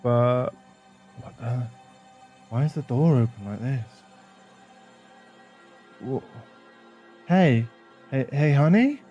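A man's voice: mid-range voice, lively intonation, takes it slowly, long pauses, mellow, slightly rough, lo-fi sound, soft background music. A man playing a protective, tender werewolf boyfriend in an ASMR roleplay, speaking in a soothing, reassuring manner. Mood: alarmed.

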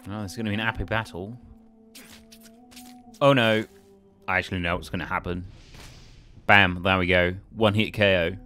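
A man's voice: low-pitched, fairly even intonation, normal speed, long pauses, pushed and bright, faint music behind. A man casually thinking aloud as he commentates a video game. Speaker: let's play host.

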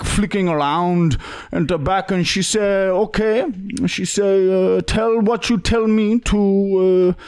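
A man's voice: high voice, a little mellow, clear, resonant tone. A young man voicing a pushy foreign man with broken English on a prank call, full of hesitant fillers. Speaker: prank caller. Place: small studio room indoors. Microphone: podcast mic on boom arm.

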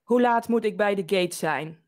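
A woman's voice: mid-range voice, moderate pace. A woman in her thirties with a Dutch accent, walking through a technical demo over a webcam from a small room. Her talk is casual and loose, full of fillers and restarts. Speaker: developer advocate presenter. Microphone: webcam video call.

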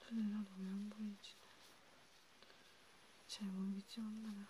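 A woman playing a calm, caring vampire healer in a hushed, casual ASMR role play, sometimes drifting into whispers. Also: medium pitch, normal speed, slight background noise, close to an everyday voice. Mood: calm, concerned. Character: female vampire healer, calm, caring toward a wounded friend. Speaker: ASMR creator.

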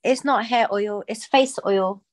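A woman's voice: medium pitch, measured pace. A woman in her thirties from Pakistan who runs a small business, talking casually on a video call from her living room, with lots of "you know" and "um". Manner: chatty, with frequent "you know" and "um". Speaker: small business owner, client.

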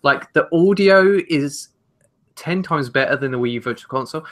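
A man's voice: on the high side, normal speed. A British man in his twenties co-hosting a casual gaming chat, talking with enthusiasm and emphasis. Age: 20s. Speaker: podcast co-host. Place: home room, indoors. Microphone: headset on, video call.

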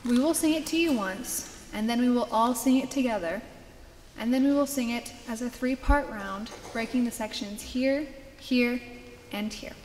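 A woman's voice: a little high, normal speed, a little mellow. A young woman leading a service, making a scripted announcement to a congregation from a church lectern. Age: young adult. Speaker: youth service leader. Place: large church sanctuary, at a lectern. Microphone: lectern microphone. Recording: echoey church hall, faint background noise.

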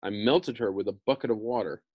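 A man's voice: on the low side, brisk, darkish tone, dry recording, lightly acted. An American man voicing an earnest, questioning young girl in a storybook read-aloud. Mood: earnest, puzzled.

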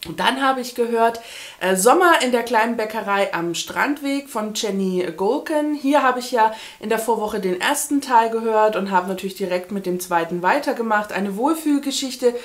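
A woman's voice: on the high side, moderate pace. Chatty woman in her thirties, book vlogger. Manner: chatty, informal. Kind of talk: casual chat to camera about books. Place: small indoor room with bookshelves.

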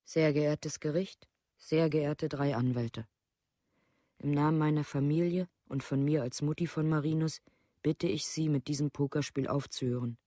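Dark-toned, bitter grieving mother. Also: low-pitched, steady tone, moderate pace, no room sound, clean studio sound. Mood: grieving, accusing. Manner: formal, accusing. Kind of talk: documentary radio play, read-out plea.

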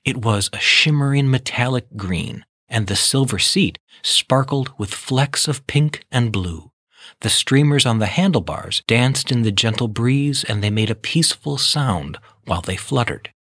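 A man reading scripted children's storybook narration in a measured, expressive way. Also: mid-range voice, husky, no echo, clean studio sound.

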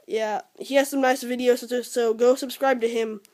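A teenage boy with an American accent talking casually and a little haltingly as he shows things to a handheld camera at home. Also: very high-pitched, little pitch movement, darkish tone, no room sound, studio quality. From Georgia, United States. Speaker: fishing vlogger. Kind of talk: casual unscripted product showcase. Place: room at home. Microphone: handheld camera at arm's length.

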